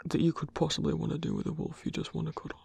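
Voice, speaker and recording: A man playing a grumpy but tender werewolf lover in human form, speaking hesitantly with sighs and a husky, gravelly voice in an intimate ASMR roleplay. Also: a little high, huge pitch swings, a little mellow, no echo, heavily acted. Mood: bashful, incredulous. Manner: halting, hesitant, sighing, gruffly bashful.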